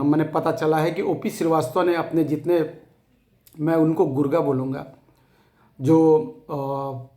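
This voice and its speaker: Hoarse, defiant man rallying followers. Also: on the high side, darkish tone, reverberant. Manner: defiant, emphatic, repeats phrases for force. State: hoarse, scratchy throat. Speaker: activist addressing fellow depositors. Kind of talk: impassioned rallying address to followers.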